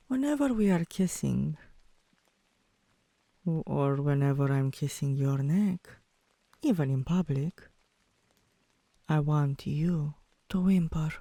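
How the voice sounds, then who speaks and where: Slow, teasing dominant girlfriend, acted by a woman. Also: low-pitched, mellow, no echo, full character voice. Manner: commanding yet coaxing, deliberate, drops into whispers. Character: dominant, teasing tomboy girlfriend, young adult woman, affectionate. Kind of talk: intimate ASMR girlfriend roleplay.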